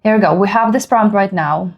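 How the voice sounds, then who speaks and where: A woman's voice: mid-range voice, gravelly, lo-fi sound. Woman thinking aloud. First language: Russian. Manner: halting, thinks aloud while typing, many fillers. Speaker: productivity vlogger. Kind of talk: screen-share tutorial.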